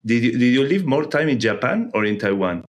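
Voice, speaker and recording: A man hosting an interview, asking questions in a polite, measured way with formal phrasing. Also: medium pitch, very animated. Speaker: podcast host.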